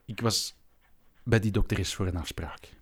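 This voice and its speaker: An actor playing an emotional, sheepish husband in a break-up, with a Flemish accent, in a comedic radio play. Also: male voice, on the low side, unhurried, husky. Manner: stammering, emotional.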